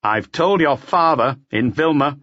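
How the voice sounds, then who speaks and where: A man's voice: fairly high pitch, animated, unhurried, no room sound, dull audio, voice pushed up. A man voicing a stern, persistent police detective in an audiobook, questioning bluntly. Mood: insistent, blunt. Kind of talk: audiobook character voice.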